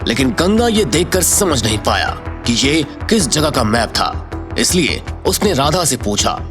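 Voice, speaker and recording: A man's voice: fairly high pitch, very animated, unhurried, over music. A man giving dramatic scripted narration as the narrator of an audio story.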